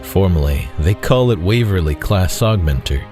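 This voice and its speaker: A man reading scripted dramatic fiction as narrator, in a measured, solemn way. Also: low voice, lively intonation, darkish tone. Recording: faint music bed behind voice.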